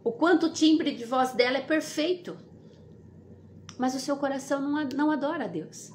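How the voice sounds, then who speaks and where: A Brazilian woman with a distinctly high voice delivers an informal devotional message. She exhorts earnestly, repeating phrases to drive them home and putting questions to the listener. Light ambient noise sits behind her speech. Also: normal speed, a little mellow. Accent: Brazilian Portuguese. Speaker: devotional host and preacher.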